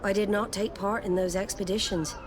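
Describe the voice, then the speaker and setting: A woman's voice: medium pitch, steady tone, brisk, background noise, natural voice. An actress playing a guarded female admiral in a game, formal and clipped.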